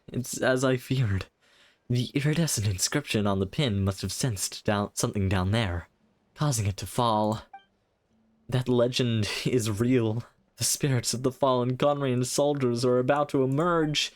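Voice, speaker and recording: A streamer reading a grave, slow-spoken guardian scout. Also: mid-range voice, no room sound, natural voice. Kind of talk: game dialogue read aloud.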